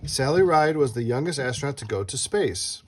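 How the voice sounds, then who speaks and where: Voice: mid-range voice, expressive, normal speed, slight background noise. Someone reading a children's book aloud as host, playfully asking a child questions and adding casual asides.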